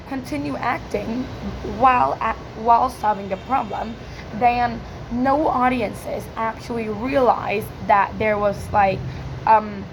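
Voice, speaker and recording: A woman with a hoarse, cracking voice giving an informal question-and-answer presentation, hesitant and full of filler words. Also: on the high side, noisy background. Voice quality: croaky, cracking. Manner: hesitant, many filler likes. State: hoarse from yelling. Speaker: student presenter practising English speaking.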